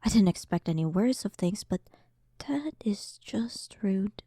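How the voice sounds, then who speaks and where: A dominant demon queen, acted by a woman, speaks slowly and with soft, mellow menace. She is arrogant and sarcastic, toying with her listener in a roleplay audio drama, often sinking into breathy near-whispers. The recording is close and dry. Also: medium pitch, lively intonation, no room sound. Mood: smug, teasing. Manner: slow, dominant, teasing, condescending, often dropping toward a whisper.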